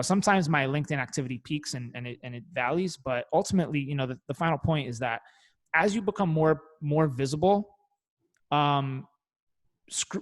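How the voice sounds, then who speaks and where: A young man in casual chat, talking loosely with frequent swearing, fillers and restarts. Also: medium pitch. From Italian family, parents from Naples. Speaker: guest marketer.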